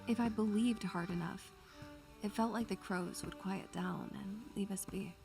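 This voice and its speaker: A woman's voice: mid-range voice, steady tone, normal speed, creaky, music in the background, close to an everyday voice. A woman playing a bookish, anxious young student who narrates her memories in a literary, atmospheric style. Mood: brooding, wistful. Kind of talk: atmospheric first-person scripted narration.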